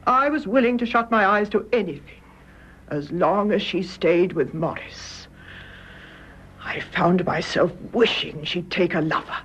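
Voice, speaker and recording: A woman's voice: fairly low pitch, a little slow, breathy edge. Genteel older British mother, muffled. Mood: earnest confession. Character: calm, devoted elderly mother, frank and unrepentant. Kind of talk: radio drama acting. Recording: dull, noisy radio drama recording.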